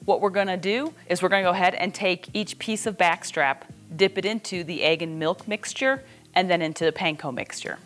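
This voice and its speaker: A middle-aged woman with a fairly low voice explains cooking steps in a casual, instructional style. She is folksy and plainspoken, like a home cook talking in her own kitchen. Faint music plays in the background. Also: a little fast, a bit bright. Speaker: cooking host.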